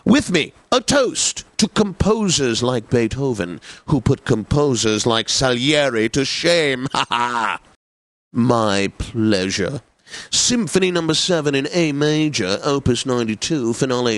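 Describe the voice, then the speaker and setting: A snobbish classical music DJ for a game radio station. He announces in a mid-pitched male voice with grand, careful enunciation and a condescending air. The sound is close and dry. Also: no room sound. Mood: snide, condescending. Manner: pompous, theatrical, deliberate enunciation.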